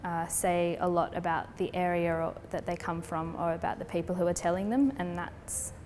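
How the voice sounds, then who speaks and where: Low-voiced woman in a reverberant gallery. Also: moderate pace. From Colombia. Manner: thoughtful, steady, with frequent fillers. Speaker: artist. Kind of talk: explaining her artwork in an interview. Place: gallery space. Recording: long reverb with faint background noise.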